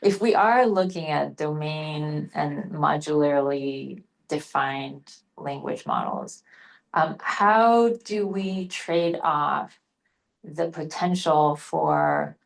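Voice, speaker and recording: Low-voiced woman moderating academic talk. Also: creaky, rough recording. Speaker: session moderator asking a question. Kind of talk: unscripted academic question.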